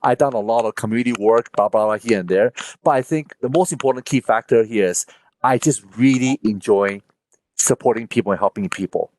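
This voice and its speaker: A man speaks as a candidate at an online panel, pushing his points emphatically and lifting his pitch on the big lines. His voice sits in a middle range and sounds dry and close. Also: brisk, darkish tone, no room sound, low-quality recording. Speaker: political candidate. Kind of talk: campaign speech at online panel.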